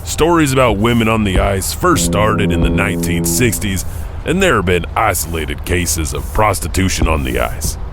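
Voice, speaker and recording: A man's voice: animated, moderate pace, music in the background. A man hosting and narrating spooky legends in a theatrical, chuckling manner. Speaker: storytelling host. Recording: clearly noisy background rumble.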